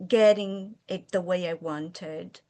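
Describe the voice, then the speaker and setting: A woman from Brazil whose first language is Portuguese, speaking English with a Brazilian accent and answering interview questions in a hesitant way, pausing with fillers as she searches for words. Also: on the low side, dark tone. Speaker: guest, children's author.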